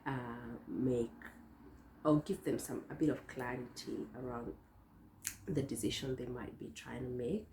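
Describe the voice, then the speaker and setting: A hoarse young woman talks to her viewers in a loose, rambling vlog style, full of fillers and restarts. Her voice is very deep and mellow. The room has a roomy echo with a faint hum behind it. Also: steady tone, measured pace. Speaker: vlogger host. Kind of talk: casual talk to camera. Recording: echoey room.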